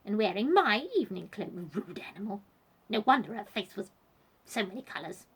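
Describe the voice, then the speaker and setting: A woman's voice: mid-range voice, expressive, normal speed, husky. A woman voicing a genteel, bossy and snobbish lady doll in a storybook, with prim, emphatic speech. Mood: indignant. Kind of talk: children's storybook character voice.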